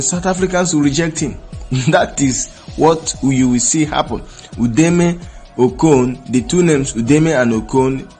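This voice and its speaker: A man with a Nigerian accent delivers forceful news commentary, pressing and repeating his points. His voice sits at an ordinary pitch, and soft music plays faintly behind him. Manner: emphatic, insistent, repeats points.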